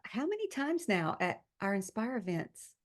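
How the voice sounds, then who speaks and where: A woman's voice: a little high, sing-song, moderate pace. A woman pastor hosting a faith podcast, chatting in an encouraging, upbeat way. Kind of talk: casual faith podcast chat.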